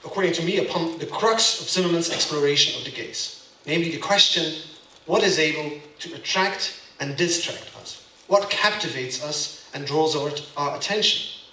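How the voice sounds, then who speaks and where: A man with a Belgian accent lectures to a room, with a bright, forceful voice pitched a little high. He speaks emphatically and keeps checking in with his listeners. He sounds distant from the mic in an echoey room. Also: normal speed. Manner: emphatic, keeps asking "yeah?" to the audience. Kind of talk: gallery lecture.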